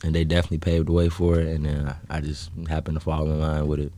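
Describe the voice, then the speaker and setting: Young guy from chicago south suburbs, super deep flat voice, keeps saying um and like. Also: quick pace, dry recording. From South Holland, south suburbs of Chicago. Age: young adult. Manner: low-key, hesitant, many "um" and "like". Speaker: guest musician. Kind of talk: casual interview chat.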